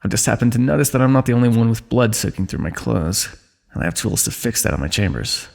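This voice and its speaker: A man voicing a wry, wounded fantasy ruler who teases an old comrade in a calm, dry way, in an ASMR roleplay. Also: fairly low pitch, fast, gravelly. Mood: dry teasing. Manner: dry, teasing, calm understatement.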